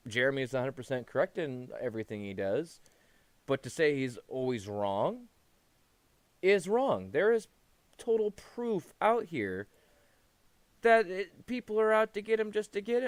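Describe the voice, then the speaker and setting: Smooth higher-voiced man ranting unscripted. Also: expressive, moderate pace, no room sound. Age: adult past his teens. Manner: rambling, repetitive, profane. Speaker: YouTube commentator. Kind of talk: unscripted opinionated commentary.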